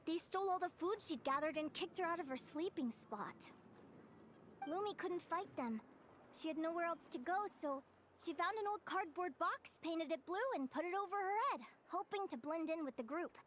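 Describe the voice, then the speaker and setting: An actress playing a cheerful young woman who studies creatures, telling her story in a lively, friendly way. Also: very high-pitched, moderate pace, slight background noise. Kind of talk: video game voice acting.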